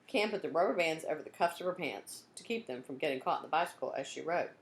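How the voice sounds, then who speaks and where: A woman reading a children's book aloud in an expressive storytelling style. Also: deep voice, fast talker, gravelly, echoey room. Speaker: storybook reader.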